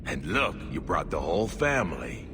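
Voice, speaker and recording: Game villain: extremely low pitch, taunting menace, swooping intonation, music underneath. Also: male voice, big pitch swings, normal speed, in a large hall. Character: menacing, mocking male villain. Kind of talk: dramatic video game acting.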